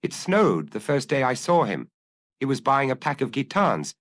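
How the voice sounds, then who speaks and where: A man's voice: medium pitch, very animated, normal speed, fairly bright tone, dry recording, clean studio sound, voice pushed up. A man voicing a guarded young Parisian waitress who gives short, matter-of-fact answers in an audiobook. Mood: guarded, plain. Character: young working-class Parisian waitress, plain-spoken and guarded.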